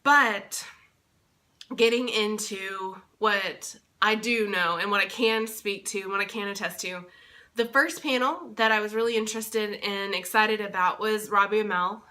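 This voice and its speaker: A woman's voice: mid-range voice, measured pace, sharp tone. A young woman giving a casual vlog update, congested and still recovering from losing her voice, chatty and rambling with many fillers. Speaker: vlogger and convention interpreter.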